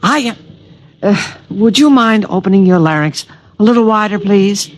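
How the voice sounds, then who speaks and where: A man's voice: high-pitched, animated, moderate pace. A man playing an invisible alien protector who is fussy and eager, groping for the right words in a slightly pedantic way, in 1950s radio drama acting.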